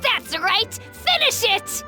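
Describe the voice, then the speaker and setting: A woman's voice: very high-pitched, big pitch swings, slow, bright, forceful tone, exaggerated voice. A woman voicing an earnest, spirited little village boy in a video game, with a childlike delivery. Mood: eager, confident. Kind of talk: English video game voice acting. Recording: voice over game music.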